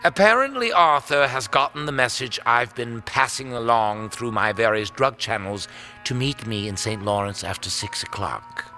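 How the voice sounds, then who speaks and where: An actor playing a sadistic, gloating villain in an audio drama, smug, taunting and menacing. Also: male voice, mid-range voice, lively intonation, normal speed, pushed and bright. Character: sadistic, gloating male villain holding captives. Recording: music under the voice. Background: dramatic background music.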